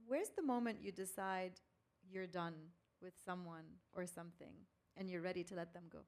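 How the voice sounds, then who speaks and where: A woman's voice: fairly low pitch, normal speed. A woman hosting a fireside chat, interviewing conversationally and reflectively, paraphrasing as she works toward her questions. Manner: reflective, paraphrases before asking.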